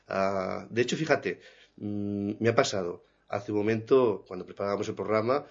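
Chatty Spaniard radio host, lo-fi sound. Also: male voice, fairly low pitch, normal speed, vocal fry, slightly rough. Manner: chatty, prompting questions. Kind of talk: radio talk and phone interviews. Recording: dull lo-fi radio recording.

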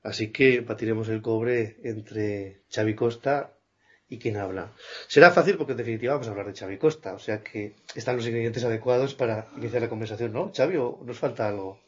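Playful, husky-voiced male podcast host. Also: mid-range voice, brisk. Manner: lively, playful questioning. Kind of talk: conversational interview. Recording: slightly muffled podcast recording.

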